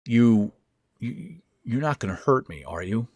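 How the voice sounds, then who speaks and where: A man's voice: medium pitch, animated, measured pace, darkish tone, no echo. A man playing an anxious fellow who protests his innocence in a horror audio story. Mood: wary. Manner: anxious, hesitant, calling out. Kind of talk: horror audio fiction reading.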